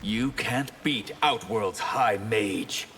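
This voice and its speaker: An actor playing a solemn, haughty fallen mage in video game banter. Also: male voice, lowish voice, takes it slowly, a bit bright. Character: grave, proud male sorcerer, a fallen mage. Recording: long hall reverb, faint background noise.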